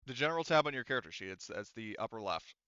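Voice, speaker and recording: A man's voice: medium pitch, animated, fast, pushed and bright, clean tone, dry recording, muffled recording. A man talking casually and with some swearing as game master, explaining rules to players at a tabletop game. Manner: casual, profane.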